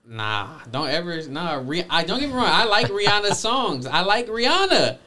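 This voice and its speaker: A man's voice: high-pitched, big pitch swings, normal speed, pushed and bright, slight background noise. Blunt, slangy man arguing casually. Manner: blunt, slangy, argumentative, swears freely. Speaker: podcast co-host. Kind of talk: casual chat.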